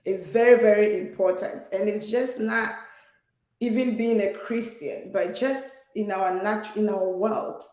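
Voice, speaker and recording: A woman's voice: medium pitch, steady tone, a little slow, a little mellow, echoey room. A woman from Ghana, a guest in an interview, speaking earnestly with many fillers and repeated words. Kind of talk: conversational interview.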